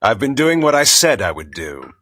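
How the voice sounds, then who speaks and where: An actor playing a proud, cunning vampire noble in a video game, with a theatrical, formal and imperious manner. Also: male voice, on the low side, sing-song, normal speed, sharp tone, full character voice. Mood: commanding, urgent. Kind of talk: dramatic video game cutscene acting.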